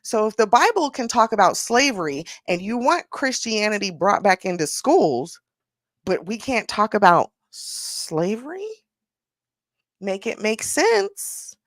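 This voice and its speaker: A woman's voice: mid-range voice, very animated, measured pace, fairly bright tone, dry recording, clean studio sound. A woman hosting a casual commentary podcast, talking in a sassy, blunt way, stretching out her rhetorical questions. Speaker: podcast host.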